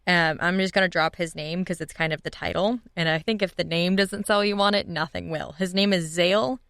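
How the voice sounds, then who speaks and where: Clear, low-pitched woman chatting casually. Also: steady tone, a little fast, fairly bright tone, no room sound, clean studio sound. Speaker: podcast guest, writers' room leader. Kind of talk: casual chat.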